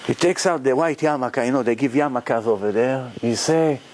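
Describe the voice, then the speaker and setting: A man, a rabbi, telling stories in a lively lecture to his congregation, acting out the people he quotes. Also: medium pitch, moderate pace, a little hiss or hum. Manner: animated, acts out quoted voices, frequent restarts.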